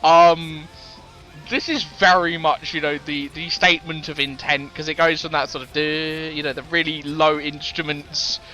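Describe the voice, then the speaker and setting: A man's voice: fairly high pitch, little pitch movement, moderate pace, pushed and bright, rough recording. A man co-hosting a casual music podcast, rambling with many fillers and restarts and laughing easily. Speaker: podcast co-host. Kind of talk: casual chat about music.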